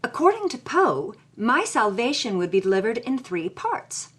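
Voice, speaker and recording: A woman's voice: a little high, very animated, normal speed, a bit bright, husky, full character voice. A woman playing a sardonic, troubled 1940s Hollywood writer, reading her journal with theatrical expression. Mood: bitter, distressed. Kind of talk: dramatic first-person reading of journal.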